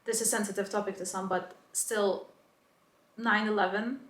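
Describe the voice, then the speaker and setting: A woman's voice: medium pitch, steady tone, moderate pace, fairly bright tone, roomy sound. A woman talking in a chatty, opinionated way, as in a casual explanatory video essay. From Lithuania. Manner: chatty, opinionated, mild swearing.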